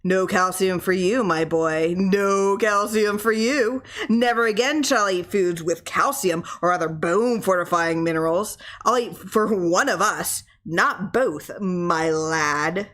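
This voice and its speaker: A woman's voice: on the low side, unhurried, hardly any pauses, fairly bright tone. A woman plays a man gripped by fear and anger in a horror audiobook. He mutters bitter taunts at an enemy only he believes in, leaning hard on his words. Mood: defiant, bitter. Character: fearful, angry man obsessed with his own skeleton.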